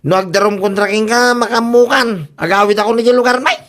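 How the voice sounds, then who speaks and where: A man acts out a fed-up husband from a comedy radio drama. His voice rides high as he scolds angrily, hammering his words with exasperation. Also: fairly quick, a bit bright. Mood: exasperated, scolding. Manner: scolding, emphatic.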